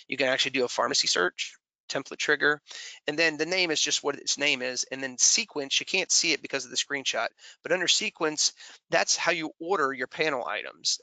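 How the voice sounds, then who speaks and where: A man presenting a software tutorial as a webinar trainer, explaining informally step by step and often checking in with short tags. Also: medium pitch, vocal fry, no echo, low-quality recording.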